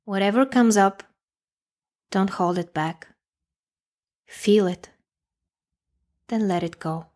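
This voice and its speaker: Female meditation guide, mid-range pitch, calm, measured phrasing, unhurried pauses, scripted relaxation narration.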